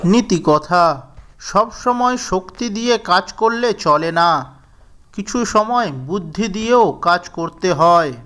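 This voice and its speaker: A man narrating a scripted children's story in a measured, deliberate storybook manner. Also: sits high, lively intonation, fairly bright tone.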